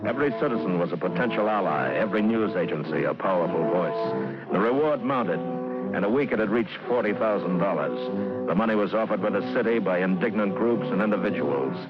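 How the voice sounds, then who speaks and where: A grave American crime narrator on old radio, terse and scripted. His voice is very low. The recording is muffled, with loud music behind it. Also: lively intonation, brisk. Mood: grave, tense.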